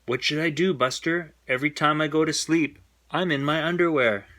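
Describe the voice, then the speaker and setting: A man's voice: on the high side, moderate pace, bright, forceful tone, pitched above the performer's own voice. A man reading a storybook, voicing an anxious, embarrassed schoolboy who exclaims and pleads. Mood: worried.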